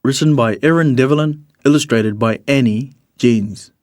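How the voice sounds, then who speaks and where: A man reads a rhyming children's storybook in an expressive, measured storytelling manner. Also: mid-range voice, no room sound, clean studio sound. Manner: expressive, measured storytelling in the character of a bird narrator. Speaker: storybook reader.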